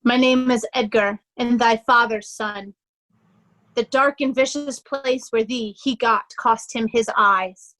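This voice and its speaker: A noble, sorrowful young man of Shakespearean tragedy, acted by a woman. The voice is on the high side, very measured and slow. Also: steady tone, fairly bright tone, low-quality recording. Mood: solemn. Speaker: amateur actor in a reader's theatre. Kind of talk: Shakespearean stage reading.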